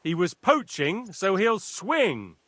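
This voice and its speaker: A gruff castle guard. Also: male voice, sits high, very animated, slow, fairly bright tone, dry recording, heavily acted. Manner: gruff, curt. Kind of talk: video game voice acting.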